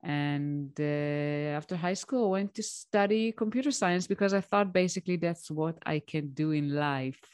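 A woman's voice: sits low, normal speed, few pauses, darkish tone, clean tone, no echo, clean studio sound. A woman, answering interview questions in a plain, reflective way over a remote call. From Israel. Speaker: guest, tech mentor.

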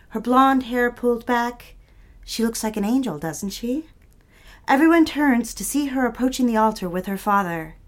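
Woman acting a demure, nervous young office worker. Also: fairly high pitch. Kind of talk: period romantic audio drama acting.

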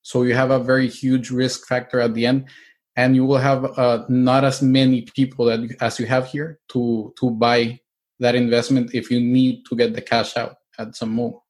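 Steady, even-toned man from El Salvador. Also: mid-range voice, moderate pace. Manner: hesitant, many restarts and fillers, explanatory. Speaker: real estate agent and investor. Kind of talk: interview answers.

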